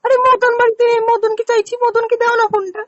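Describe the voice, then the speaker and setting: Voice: very high voice, level tone, quick pace, no echo, pitched above the performer's own voice. A prank caller voicing a pestering, whiny village woman who pleads and rambles on a phone call recording. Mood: insistent, rambling. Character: pestering, whiny village woman hunting her runaway lover.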